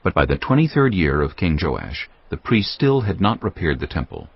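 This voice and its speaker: Male scripture narrator, very low voice, animated intonation, dramatic scripted reading, slightly muffled lo-fi audio, music behind. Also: moderate pace, breathy edge. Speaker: Bible narrator. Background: background music.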